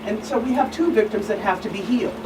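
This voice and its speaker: A woman speaking as a guest expert in an earnest discussion, measured and emphatic, pausing between phrases. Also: fairly high pitch. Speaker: guest expert, reverend and attorney. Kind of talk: earnest talk show discussion. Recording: distant mic, clear background hum.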